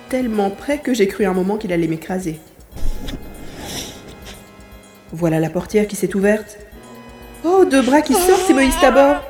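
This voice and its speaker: A woman playing a plucky young boy adventurer in an audio drama, with an expressive delivery. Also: medium pitch, natural voice. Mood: tense narrating, then excited, alarmed. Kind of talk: acted audio drama with inner narration.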